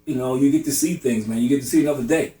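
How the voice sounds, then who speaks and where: Fast, earnest middle-aged man. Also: mid-range voice, mellow. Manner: earnest, advising, emphatic. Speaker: discussion participant. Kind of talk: candid group discussion. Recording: roomy, distant mic.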